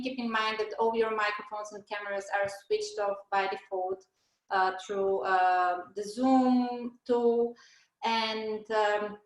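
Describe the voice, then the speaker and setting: Hesitant woman hosting a webinar, echoey room. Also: a little high. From Bulgaria. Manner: hesitant, many fillers and restarts. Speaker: webinar host. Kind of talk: presenting a webinar. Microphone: video call.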